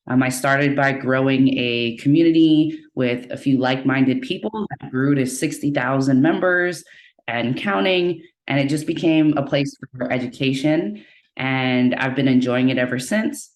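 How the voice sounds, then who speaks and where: An easygoing guest from Hawaii introduces himself in a friendly, upbeat way. His voice carries a noticeable room echo, as if he is speaking from a bare space on a call. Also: mid-range voice. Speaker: guest facilitator. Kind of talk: casual self-introduction. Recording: echoey room.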